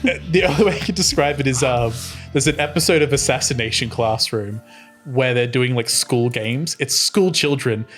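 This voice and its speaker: A man's voice: mid-range voice, moderate pace, fairly bright tone, soft background music. A man playing a tabletop game, describing things in a casual, improvised way.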